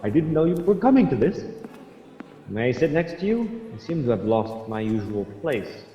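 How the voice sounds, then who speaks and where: An actor playing a proud, surly Franciscan friar in a radio drama, haughty and blustering. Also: male voice, on the high side, very animated, normal speed, warm tone, highs cut off. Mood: grumbling. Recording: echoey hall-like reverb.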